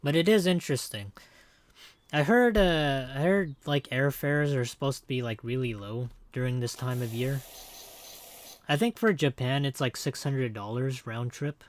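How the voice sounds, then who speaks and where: A man's voice: medium pitch, moderate pace, slight background noise. A young man with an American accent, chatting casually while gaming and rambling with lots of fillers and restarts. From born in Hong Kong, raised in the United States. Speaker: streamer.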